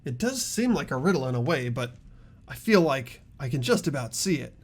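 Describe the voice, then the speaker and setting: A man's voice: mid-range voice, sing-song, normal speed, breathy edge. A man voicing an earnest, thoughtful teenage boy detective, tentatively reasoning in an amateur game dub. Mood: pondering.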